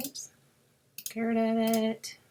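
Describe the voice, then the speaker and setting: A woman with a sore, scratchy throat, explaining a crafting software task step by step in a chatty way and muttering to herself as she clicks. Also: slow pace. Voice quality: raspy with creaky fry. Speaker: crafting tutorial host. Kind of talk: screen-recorded software walkthrough.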